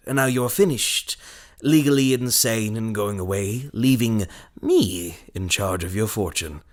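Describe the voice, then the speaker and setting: Actor as a low-voiced, scheming melodrama villain. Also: male voice, close to an everyday voice. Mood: smug, gloating. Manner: theatrical, sardonic, deliberate. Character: scheming, greedy, mocking villain father and husband. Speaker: actor in an audio drama. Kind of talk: melodramatic horror radio play acting.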